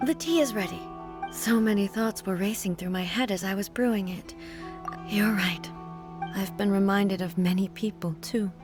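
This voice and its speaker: An actress playing a regal, immortal female shogun in a video game, formal, measured and stately, with quiet grief beneath her composure. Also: mid-range voice, lightly acted. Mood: solemn, wistful. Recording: game music behind the voice.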